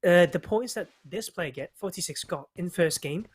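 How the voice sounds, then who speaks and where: A man's voice: high voice, expressive, normal speed, slightly rough. A man co-commentating an esports match in a chatty way, with many fillers and restarts. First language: not Mandarin. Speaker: esports co-caster.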